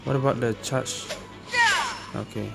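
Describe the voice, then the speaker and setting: A man's voice: medium pitch, fairly even intonation, unhurried, vocal fry, low-quality recording. A man giving casual gameplay commentary as a gaming host, dropping colloquial tags like lah and eh. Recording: game music behind the voice. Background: video game music.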